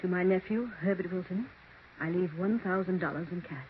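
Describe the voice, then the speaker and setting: An actress playing a haunted widow who insists on every word. Her delivery is deliberate and dramatic, in vintage radio mystery style, with a thin phone-like band and light hiss. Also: lowish voice, close to an everyday voice. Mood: composed, formal. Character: haunted, insistent widowed aunt, firm and strange. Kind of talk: 1940s radio mystery acting. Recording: tinny, hissy 1940s radio recording.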